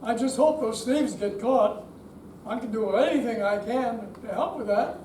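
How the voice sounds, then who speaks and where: Brief: man as gullible, grumbly older male customer, high-pitched, readers theater, distant mic in echoey room. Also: moderate pace, darkish tone. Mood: trusting. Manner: grumbly yet trusting. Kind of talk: amateur readers theater stage reading. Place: indoor senior center performance room. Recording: distant echoey hall, faint noise.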